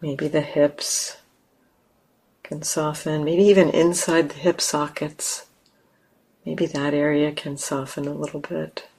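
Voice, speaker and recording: Very slow, low-voiced woman guiding meditation. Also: flat delivery, husky, rough recording. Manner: gentle, unhurried, with long pauses. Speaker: meditation teacher. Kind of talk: guided meditation.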